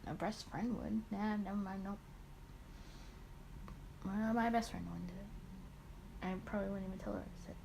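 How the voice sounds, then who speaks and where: A woman chats casually as she hosts a game playthrough. Her pitch is average for a woman, and she rambles, drifting into little asides to herself. There is faint noise behind her voice. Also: normal speed, gravelly, pauses often, darkish tone, rough recording. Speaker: host of a gaming let's play series. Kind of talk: casual let's play, chatting and reading visual novel dialogue aloud.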